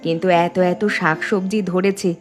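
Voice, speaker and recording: A woman playing a gentle, hardworking village housewife in a cartoon, speaking softly and earnestly. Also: on the low side, steady tone, a little slow, natural voice. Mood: pensive. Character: gentle hardworking village housewife, caring elder sister-in-law. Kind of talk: cartoon dialogue acting. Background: background music.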